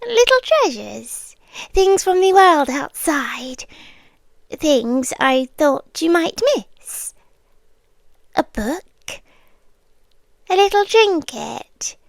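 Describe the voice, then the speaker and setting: Casting: woman as a sweet, possessive forest queen, high voice, very slow, whispery and coaxing. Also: very animated, gravelly, no room sound. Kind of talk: scripted audio roleplay.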